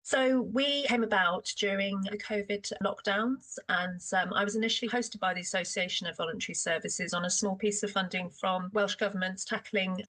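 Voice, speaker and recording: A woman's voice: mid-range voice, fairly even intonation, normal speed, few pauses, bright, forceful tone, rough recording. A woman, a food partnership coordinator, explaining her work in an interview, thoughtful with fillers. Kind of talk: interview answer.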